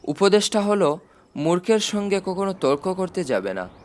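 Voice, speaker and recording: A man's voice: high voice, very animated, moderate pace, darkish tone, a little hiss or hum. A man voicing a self-satisfied elderly president with chatty, dry humour in an audiobook. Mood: smugly advising. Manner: chatty, drily humorous. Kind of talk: audiobook character dialogue.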